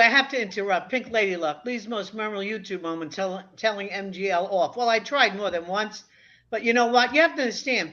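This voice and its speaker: A bright, forceful woman in her seventies speaks with quick emphasis on a casual video chat panel. Her voice comes through a dull, lo-fi connection and carries a chatty, animated manner. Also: mid-range voice. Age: 70s. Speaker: panel guest. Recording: dull lo-fi sound.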